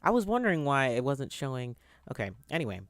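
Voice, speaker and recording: A man in his twenties with a youthful, boyish voice, chatting on a live stream as he works. His delivery rambles and stalls, full of fillers and restarts, pitched right in the middle for a man. The sound is dry and close. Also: sing-song, fast talker, no room sound. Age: 20s. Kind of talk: casual live-stream chat answering viewers.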